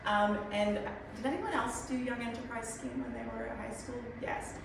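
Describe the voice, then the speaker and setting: A woman's voice: a little high, normal speed. A woman in her thirties with a mixed American and New Zealand accent gives a chatty, self-mocking talk to a room, with many ums. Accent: American-New Zealand mix. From United States and New Zealand. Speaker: guest speaker. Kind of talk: conference talk. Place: large echoey meeting room. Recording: echoey room, distant mic, noisy.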